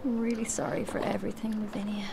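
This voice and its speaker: An actress playing a lonely, needy woman in her twenties, pleading and halting, often breathy, in a radio drama. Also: medium pitch, level tone, background noise, close to an everyday voice. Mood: apologetic. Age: 20s.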